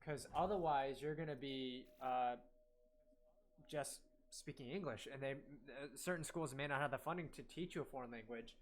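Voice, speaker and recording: A man's voice: mid-range voice, low-quality recording. A young man whose first language was Korean, chatting casually while live-streaming a game, rambling with lots of fillers and self-corrections. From United States.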